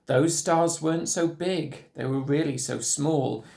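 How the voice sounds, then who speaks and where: A man's voice: fairly high pitch, measured pace, gravelly, a little mellow. A man reading a rhyming children's story aloud, expressively and rhythmically. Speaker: storybook reader, school staff.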